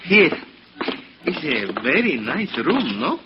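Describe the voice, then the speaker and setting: An actor playing a hustling little Mexican hotel bellboy, ingratiating, with a Mexican accent and broken English, in old radio drama. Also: male voice, on the high side, sing-song, slow pace. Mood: eager, obliging. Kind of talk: 1950s radio drama acting. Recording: noisy, dull vintage radio recording.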